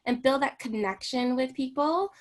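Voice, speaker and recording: A woman's voice: high-pitched, normal speed. A young woman from Hong Kong, a recreation therapist and guest on a radio interview, talking casually with many fillers and "right?" tags.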